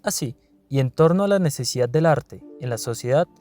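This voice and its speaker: A man's voice: mid-range voice, lively intonation, fairly quick, warm tone, soft background music. A man reading scripted documentary narration.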